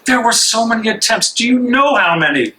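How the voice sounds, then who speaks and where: A man's voice: very high-pitched, expressive, fairly quick, bright, forceful tone, full character voice. A man acting an angry, bitter imprisoned dissident in an amateur stage reading, agitated, challenging and insistent.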